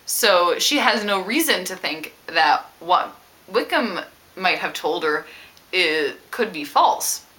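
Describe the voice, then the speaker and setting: Lively, bright-voiced woman chatting about books. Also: fairly low pitch, slow. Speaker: book vlogger. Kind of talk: casual book discussion to camera.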